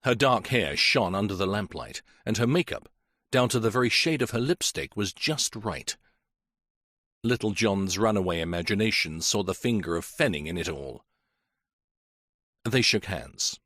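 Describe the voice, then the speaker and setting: Lively low-voiced male story narrator. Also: normal speed, no room sound, clean studio sound. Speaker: audiobook narrator.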